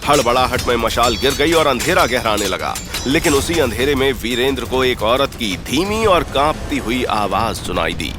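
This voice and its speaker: A man's voice: medium pitch, lively intonation, normal speed, few pauses. A man giving dramatic scripted narration of a story. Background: background music.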